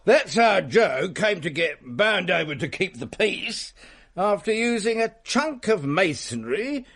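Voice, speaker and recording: A man playing a prim, excitable Victorian English gentleman in a radio drama, telling tales in formal, theatrical phrases. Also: high voice, unhurried, heavily acted. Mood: relishing the tale. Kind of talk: period radio drama storytelling.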